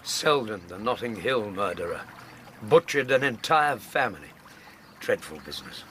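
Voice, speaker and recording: A steady, low-voiced gentleman doctor. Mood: grave, resolute. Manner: formal, courteous, measured. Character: steady, protective gentleman doctor, loyal and cautious. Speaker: actor in a radio drama. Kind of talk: radio drama acting. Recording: outdoor ambience, water and vehicle noise.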